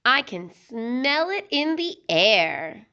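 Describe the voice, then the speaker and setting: Bossy storybook mama pig, read by a woman: higher voice, emphatic, slow delivery. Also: big pitch swings, sharp tone, dull audio, heavily acted. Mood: eager. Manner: scolding, emphatic. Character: impatient, bossy mother pig.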